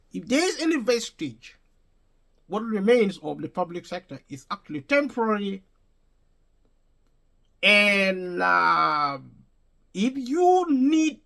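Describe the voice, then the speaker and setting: High, lively-voiced man talking to viewers. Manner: repetitive, restates and rephrases points; emphatic, addresses viewers directly. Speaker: vlogger. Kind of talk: unscripted opinion monologue.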